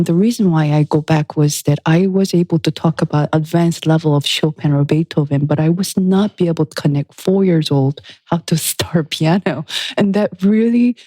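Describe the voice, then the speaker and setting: Studio guest: woman, reflective and unhurried, mellow voice, dry close mic. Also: lowish voice, no room sound. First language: Korean. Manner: reflective, unhurried, with soft laughs and restarts. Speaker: guest pianist and author. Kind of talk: thoughtful conversational interview.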